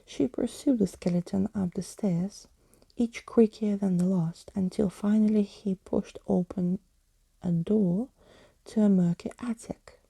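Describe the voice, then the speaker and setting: A woman reads a story aloud as ASMR. Her whisper is very soft and breathy, slow and deliberate, recorded close with hardly any room sound. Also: medium pitch, steady tone, no echo, low-quality recording.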